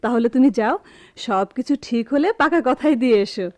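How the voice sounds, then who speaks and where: A woman playing a calm, practical middle-aged wife and mother in a radio drama, gentle and measured. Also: fairly high pitch, dry recording, natural voice. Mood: calm, hopeful. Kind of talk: Bengali radio drama acting.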